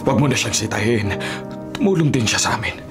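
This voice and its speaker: A man's voice: lowish voice, animated, fast talker, over music. An actor playing a middle-aged mayor and protective father in a Filipino radio drama, commanding yet reassuring, with a Filipino accent.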